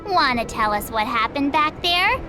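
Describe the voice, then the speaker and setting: A very high-pitched, swooping young adventurer girl, bubbly and impulsive. She chatters informally and dramatically, like an anime game heroine. Loud game music plays behind her. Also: animated, normal speed. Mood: curious, insistent.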